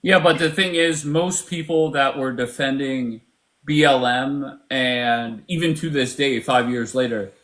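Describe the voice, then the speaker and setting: Brisk, dry man hosting a call-in stream. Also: medium pitch, fairly bright tone. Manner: brisk, dry, cuts callers off. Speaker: livestream host moderating callers. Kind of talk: live call-in debate stream.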